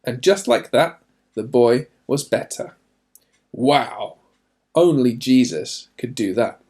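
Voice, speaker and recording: A man reading a children's storybook aloud in an expressive narrating style, with deliberate emphasis. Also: medium pitch.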